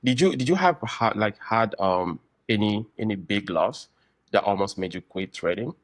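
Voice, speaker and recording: A man hosting a casual podcast interview, speaking in halting, deliberate phrases. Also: on the low side, animated, dry recording. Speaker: podcast host, trader.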